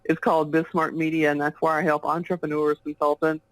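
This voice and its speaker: A woman's voice: low-pitched, flat delivery, a little fast, dry recording, poor audio. A woman with a Texas accent, a business author answering interview questions in long, chatty runs. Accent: Texan. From United States. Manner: chatty, talks in long flowing runs with light laughs. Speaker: guest, author and business coach.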